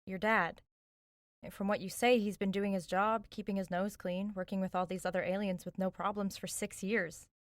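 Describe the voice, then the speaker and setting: A woman's voice: mid-range voice, little pitch movement, normal speed, no room sound, lightly acted. An actress playing a practical, sardonic young woman on the run in an audio drama, with naturalistic delivery and a dry manner. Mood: serious, decisive. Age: young adult. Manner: dry, sarcastic.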